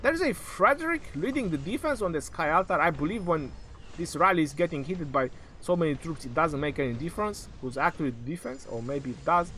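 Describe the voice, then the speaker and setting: Excitable male game commentator, higher voice. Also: fairly quick, a little hiss or hum. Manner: excitable, joking play-by-play. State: voice going hoarse.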